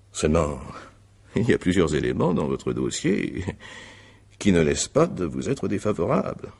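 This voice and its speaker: A man playing an examining magistrate in a radio mystery, courteous but insistent, with formal, deliberate phrasing. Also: low voice, creaky, darkish tone, slightly rough, heavily acted. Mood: courteous, pressing. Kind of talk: French radio mystery drama acting.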